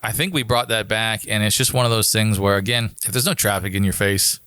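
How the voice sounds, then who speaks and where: A man with an American accent and a fairly low voice chats in an informal, explanatory way. The sound is close and dry, with very little room around him, as on a podcast. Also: steady tone, brisk, bright, forceful tone, clean tone, no echo. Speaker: air traffic controller, podcast guest. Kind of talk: casual chat, explaining.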